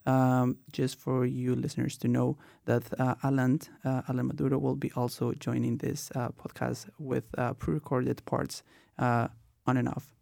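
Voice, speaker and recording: Twenty-something guy from colombia hosting a podcast, gravelly mellow voice, dry sound, lots of uhs and ums. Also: mid-range voice, little pitch movement, normal speed, dry recording. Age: 20s. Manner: many "uh" and "um" fillers while telling his own story. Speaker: podcast host. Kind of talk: casual podcast talk.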